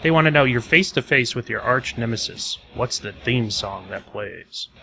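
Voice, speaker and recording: A man's voice: mid-range voice, lively intonation, normal speed, gravelly, sharp tone. A man hosting a casual podcast and putting questions to the guest. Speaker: podcast host reading listener questions. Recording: background noise on the mic.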